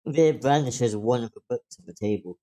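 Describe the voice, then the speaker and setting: A man's voice: mid-range voice, moderate pace, warm tone. A man reading stage directions as scripted narration at a table read.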